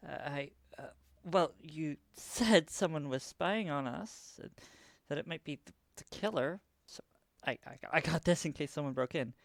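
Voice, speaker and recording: A man's voice: fairly high pitch, normal speed, vocal fry, hardly any pauses, dry recording. A man playing an anxious, skeptical and worried friend in an audio drama, hesitant and stammering as he talks. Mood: nervous, confused.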